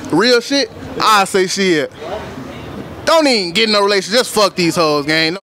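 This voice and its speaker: A man's voice: high voice, animated, normal speed, over music. A young American man from Chicago giving blunt, profane, slangy answers in a casual street interview. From Chicago, United States. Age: young adult. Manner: blunt, profane, repeats himself. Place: outdoors in a doorway at night.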